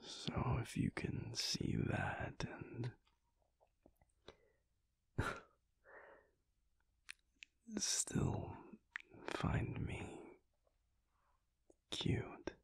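A young man playing a big, bashful, affectionate werewolf boyfriend in an intimate audio roleplay, speaking softly and haltingly in a husky near-whisper. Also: no room sound. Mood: touched, affectionate. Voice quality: breathy, husky, gravelly. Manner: halting, soft, hesitant with small chuckles.

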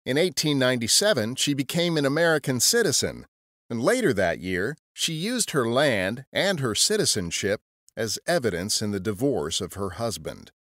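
A man's voice: mid-range voice, sing-song, moderate pace, fairly bright tone, no room sound, clean studio sound. A man hosting a history podcast, reading scripted narration in a wry storytelling manner.

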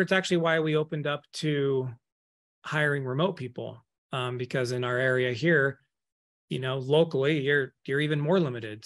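A man in his thirties, an accounting firm owner, speaking candidly and emphatically as a guest on a podcast, recorded over a video call in an office. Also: medium pitch. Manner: candid, emphatic, speeds up when animated. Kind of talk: casual podcast interview. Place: office room. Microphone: video call webcam.

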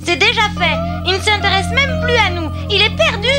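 Shrill, alarmist cartoon monkey, extremely high, over loud music and noise. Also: female voice, expressive, fairly quick. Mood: gossipy.

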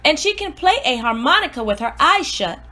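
A woman voicing a precocious, self-important newborn baby diarist, earnest and exclamatory, in an expressive storybook read-aloud. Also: high-pitched, fairly quick, full character voice. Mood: wondering admiration.

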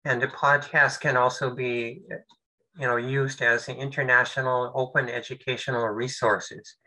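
An older man presents over a video call. His voice sounds very bright and forward, and he talks in halting phrases full of fillers and restarts. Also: medium pitch, little pitch movement, poor audio. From United States. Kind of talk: webinar presentation.

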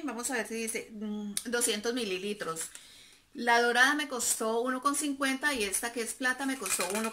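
A woman's voice: a little high, pushed and bright, slight background noise. A woman, chatting casually to the camera from her living room as she shows off things she bought, fond of little diminutives. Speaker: vlogger. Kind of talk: casual haul chat. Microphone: camera in front, seated close.

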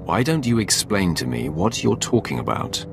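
An actor playing a stern, commanding Sith warrior in a video game, curt, imperious and controlled. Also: male voice, low-pitched, very animated, moderate pace, gravelly, background noise, heavily acted. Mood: controlled, probing.

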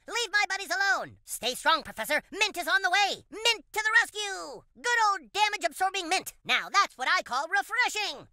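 A high, bright child's voice for a cartoon game. He is an earnest boy superhero from another planet, proclaiming everything with theatrical pomp and big swings in pitch. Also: vocal fry, no room sound, studio quality, full character voice. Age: child character. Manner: grandiose, theatrical superhero declarations. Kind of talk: cartoon video game voice lines.

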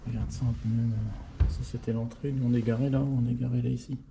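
A man's voice: mid-range voice, flat delivery, brisk, creaky, noisy background. A man in his fifties, a motorcycle vlogger, chatting to the viewer as he explores a church and points things out. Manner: chatty, marvelling, talks to the viewer directly. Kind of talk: casual walking tour commentary. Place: inside a stone church and tower. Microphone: action camera held close.

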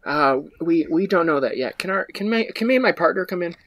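Man improvising a halting, polite police officer. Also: fairly high pitch, lively intonation, fairly bright tone. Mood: halting, official. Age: young adult. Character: bumbling, polite male police officer questioning a mother. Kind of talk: improvised comedy table read.

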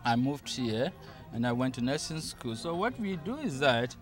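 A man's voice: medium pitch, sing-song, moderate pace, faint music behind. A middle-aged man with a Ghanaian accent, a nurse, speaking earnestly and plainly about his charity work, interviewed outdoors on a handheld mic. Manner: earnest, plain-spoken, adds short "yeah". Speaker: nurse and nonprofit organizer. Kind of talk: festival interview. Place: outdoors at a festival stall. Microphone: handheld mic held by interviewer.

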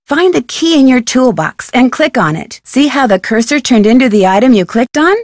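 Casting: young female sleuth, highish pitch, friendly and instructive game-tutorial read, dry studio sound. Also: animated, normal speed, darkish tone, no echo. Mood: helpful, upbeat. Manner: clear, instructive, self-assured. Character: friendly, curious young female amateur detective.

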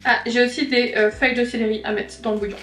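A woman's voice: fairly high pitch, flat delivery, fast talker, a bit bright, recorded from a distance, a little hiss or hum. A young woman chatting casually and rambling with many fillers while she cooks at home, recovering from a stomach illness. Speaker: cooking vlogger. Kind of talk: casual chat while cooking. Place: home kitchen, rain outside. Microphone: far camera. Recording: echoey kitchen, voice off-mic.

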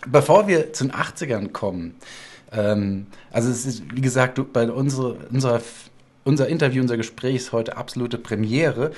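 A man's voice: mid-range voice, normal speed, darkish tone. A middle-aged man hosting a casual, chatty interview from a home studio. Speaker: talk show host. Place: home studio room indoors.

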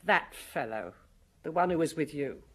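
An actress playing a huge, sullen middle-aged concierge in a radio drama, speaking curtly and grudgingly. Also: female voice, deep voice, moderate pace, husky, full character voice. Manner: curt, flat, grudging.

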